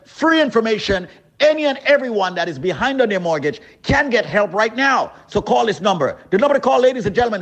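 A man delivering a high-energy radio advertisement in an emphatic, repetitive, hard-selling manner. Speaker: radio host reading an advertisement.

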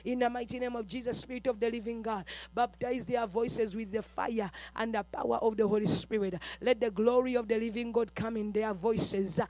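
A man's voice: very high voice, fairly even intonation, normal speed, hardly any pauses, darkish tone, rough recording. A man leading a fervent chanted prayer with rhythmic, repetitive declarations full of "uh", breaking into tongues between them. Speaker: preacher.

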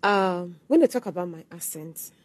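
A woman's voice: lowish voice, lively intonation, moderate pace, a little mellow, husky. A confident, blunt woman from Owerri, Nigeria, speaking as a guest in a casual interview.

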